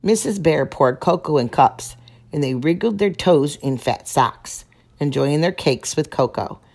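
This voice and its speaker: Slow, gentle woman reading aloud. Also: deep voice, animated. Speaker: storyteller. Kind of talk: children's read-aloud.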